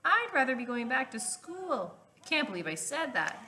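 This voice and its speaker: A woman's voice: high-pitched, very animated, normal speed, noisy background, voice pushed up. A woman voicing anxious, complaining schoolchildren from a picture book, exclaiming dramatically. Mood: alarmed, whiny.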